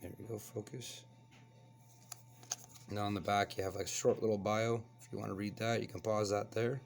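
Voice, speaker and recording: A man with a hoarse voice from a cold, hosting a casual toy review and chatting as he unboxes. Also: lowish voice, normal speed, a little hiss or hum. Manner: relaxed, chatty, explains as he handles the toy. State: hoarse, getting over a cold. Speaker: toy reviewer, channel host.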